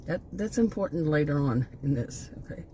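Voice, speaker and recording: A woman of sixty-one speaks in a low, mellow voice gone a little hoarse, since she is losing it. She rambles through her own monologue, breaking off to restart sentences and hunt for her next point. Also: animated, a little fast, a little hiss or hum. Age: 60s. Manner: rambling, often stops to restart and lose her thread. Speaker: channel host.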